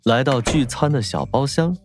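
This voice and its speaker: A man's voice: mid-range voice, animated, moderate pace. A man narrating a novel as a scripted, dramatic audiobook. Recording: faint music behind.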